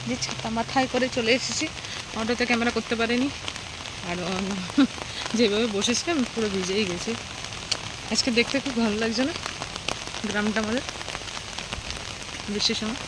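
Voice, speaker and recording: Fairly high-voiced West Bengali woman vlogging, noisy background. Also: moderate pace, pauses often. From West Bengal, India. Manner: chatty, addresses viewers as friends. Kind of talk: casual daily vlog narration. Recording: noisy outdoor traffic and rain ambience.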